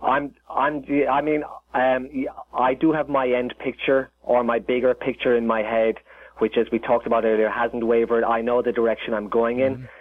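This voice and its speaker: A man's voice: medium pitch, flat delivery, normal speed, no echo. Irish man over a tinny phone line. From Ireland. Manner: rambling, many restarts and fillers. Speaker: chef, interview guest. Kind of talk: casual interview talk.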